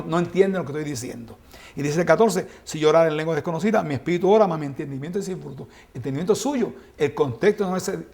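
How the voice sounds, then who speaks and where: High gravelly preacher. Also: male voice, a little mellow. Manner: explanatory, reads scripture then comments with rhetorical questions. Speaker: Bible teacher. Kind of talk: Bible study lecture.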